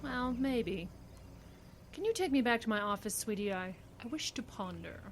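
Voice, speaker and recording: A woman's voice: on the high side, animated, normal speed, close to an everyday voice. Actress as woman defense attorney, resolute, affectionate, wry, articulate, old-time radio drama, slight background noise. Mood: thoughtful, resolute. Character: determined, idealistic woman defense attorney, affectionate and quick-witted. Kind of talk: old-time radio detective play acting.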